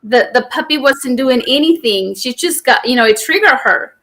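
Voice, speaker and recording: Chatty, high-voiced woman host. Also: moderate pace. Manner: chatty, animated storytelling with restarts. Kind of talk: conversational interview hosting.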